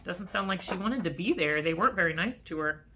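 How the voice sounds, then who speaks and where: A woman's voice: lowish voice, lively intonation, a little fast, pushed and bright, a little hiss or hum. A woman reading a picture book aloud to children as a teacher, expressively and with casual asides.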